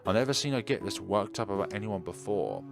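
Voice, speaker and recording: A man's voice: on the low side, expressive, a little fast, gravelly. A man reading visual novel narration aloud dramatically, stumbling over words now and then and correcting himself. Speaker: video game streamer reading dialogue aloud. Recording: music behind the voice.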